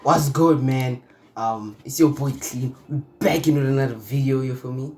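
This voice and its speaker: A man's voice: medium pitch, moderate pace, a little mellow, soft background music. A man hosting a casual reaction video, talking in a slangy, laid-back way and often checking in with his audience. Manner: slangy, laid-back, frequent "you feel me".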